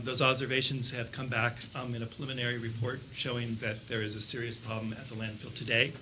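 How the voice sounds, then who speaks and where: A man's voice: medium pitch, pushed and bright. A man giving pointed, critical public comment at a county meeting. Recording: noisy public meeting recording.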